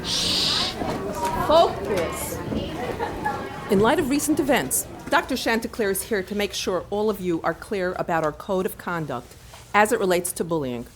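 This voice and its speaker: A woman's voice: mid-range voice, expressive, normal speed, pauses often, a faint crowd, a little hiss or hum, close to an everyday voice. A woman playing a firm, polite schoolteacher in an audio drama. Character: orderly female schoolteacher shushing her class.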